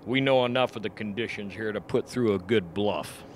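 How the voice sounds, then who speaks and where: A man playing a grave, earnest expedition doctor in a radio play, reasoning in a measured way. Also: lowish voice, close to an everyday voice, low-quality recording. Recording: faint background noise.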